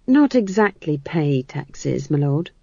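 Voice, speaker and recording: A woman's voice: sits low, sing-song, slow, vocal fry, dark tone, no room sound, dull audio, exaggerated voice. A woman voicing a timid, obsequious old male chamberlain in a storybook, hesitant and deferential. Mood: nervous, miserable.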